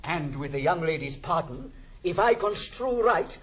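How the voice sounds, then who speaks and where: Blunt old uncle, British, theatrical, high-pitched, animated, sardonic, classical comedy. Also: male voice, measured pace. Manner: declamatory, theatrical. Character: blunt wealthy old uncle, male, sardonic yet generous. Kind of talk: 1960s classical stage comedy acting. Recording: 1960s studio record, faint hiss.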